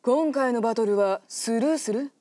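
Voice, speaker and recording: A woman's voice: fairly high pitch, normal speed, warm tone. Woman narrating a radio drama. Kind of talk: scripted narration.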